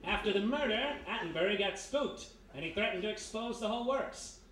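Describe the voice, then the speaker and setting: Picture a brash private eye from a vintage radio mystery played by a man, slangy and sure of himself as he lays out the case. His voice sits high for a man, and the live stage recording is roomy with a faint noise underneath. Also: expressive, brisk, a bit bright. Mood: confident, sardonic. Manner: wisecracking, slangy, explaining confidently. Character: brash American private detective, reformed thief, laying out a case. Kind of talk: 1930s-style detective radio play acting before a live audience. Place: live performance in a historic house.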